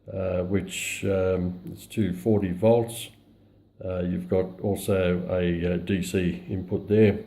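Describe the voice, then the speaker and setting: An Australian man casually walking through a product in a hesitant, low-key, unscripted way. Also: dark tone. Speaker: radio hobbyist presenting a demonstration. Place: indoors at home, radio on a countertop.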